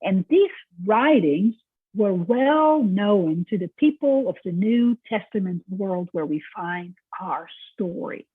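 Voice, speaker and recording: A middle-aged woman from the Netherlands with a Dutch accent, giving a recorded lecture in an explanatory, conversational way with filler pauses. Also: medium pitch, expressive, slightly rough, no echo, phone-quality sound.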